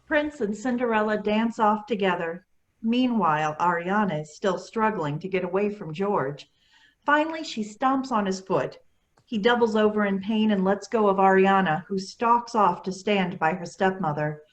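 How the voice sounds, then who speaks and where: Female narrator, average pitch, scripted stage directions for a children's staged reading. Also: moderate pace.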